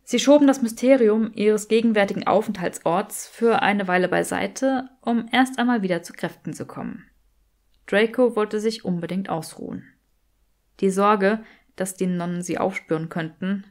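A woman narrates a novel-style story for an audiobook. Her voice sits at an average pitch as she reads scripted prose.

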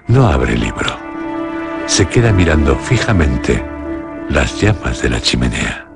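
A man with a Castilian accent narrating a scripted radio suspense drama in a measured, solemn manner. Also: extremely low pitch, creaky. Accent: Castilian Spanish. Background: loud music.

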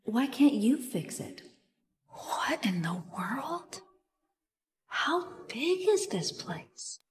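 A woman playing an anxious but plucky, kind-hearted young animator in a horror video game, expressive and often talking to herself. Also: high-pitched, drawn-out words, big-room sound, full character voice. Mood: uneasy, searching. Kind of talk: dramatic horror game voice acting.

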